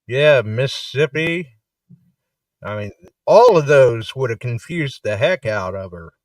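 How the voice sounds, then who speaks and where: A man's voice: medium pitch, expressive, a little slow, dry recording. Agreeable man on a distant dry mic. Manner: agreeable, brief interjections. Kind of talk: casual livestream chat.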